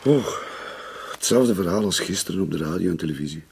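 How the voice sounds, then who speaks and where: A man's voice: sits low, animated, fairly quick, creaky, darkish tone, husky, exaggerated voice. An actor playing a dismissive, soothing middle-aged Flemish husband and father in a radio play. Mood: calm, reassuring. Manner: soothing, dismissive, mildly mocking. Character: dismissive, calm-minded husband and father Claude.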